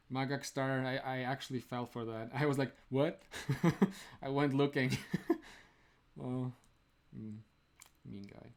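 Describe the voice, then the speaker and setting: A man's voice: mid-range voice, steady tone, takes it slowly, long pauses. A young man from Romania, the host of a live stream, chatting casually with viewers, laughing often and filling his talk with "like". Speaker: streamer answering chat.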